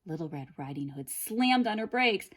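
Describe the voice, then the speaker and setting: A woman narrating a children's story in a dramatic, deliberate, expressive way. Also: medium pitch, very noisy. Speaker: storybook reader.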